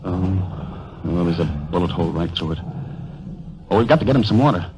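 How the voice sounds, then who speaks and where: An actor playing an anguished, bitter young German soldier in a 1930s radio drama. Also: male voice, deep voice, lively intonation, a little fast, dark tone, dull audio. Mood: tense, weary. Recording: old 1930s radio broadcast.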